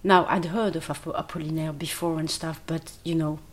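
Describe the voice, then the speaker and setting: Woman, native French speaker, lowish voice, reflective, casual interview. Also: steady tone, brisk. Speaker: writer and translator, guest. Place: home kitchen.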